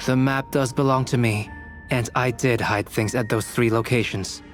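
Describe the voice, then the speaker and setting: A man voicing a calm, cerebral young alchemist in a fantasy video game, speaking with measured, formal politeness. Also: mid-range voice, lightly acted. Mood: composed. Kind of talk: dramatic video game voice acting. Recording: music behind the voice.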